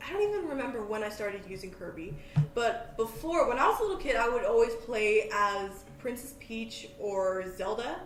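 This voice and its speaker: A woman hosting a podcast in casual, chatty talk, scatterbrained, jokey and quick to poke fun at herself. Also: fairly high pitch, expressive. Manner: scatterbrained, self-deprecating, jokey. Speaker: podcast host. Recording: echoey room, faint background noise.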